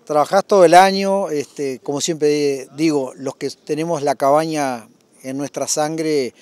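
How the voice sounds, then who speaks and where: A man's voice: a little high, steady tone, measured pace, a little mellow, no echo. An older man with a Uruguayan accent, a breeders' society president, being interviewed outdoors. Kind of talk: spoken interview answer. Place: outdoors at a showground. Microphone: handheld interview microphone.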